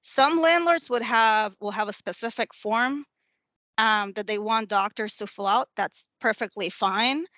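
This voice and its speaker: A woman's voice: fairly high pitch, unhurried, pushed and bright, dry recording. A woman lawyer giving an informal talk on tenant rights, with frequent ums and restarts. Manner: informal, many fillers and restarts. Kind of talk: educational presentation.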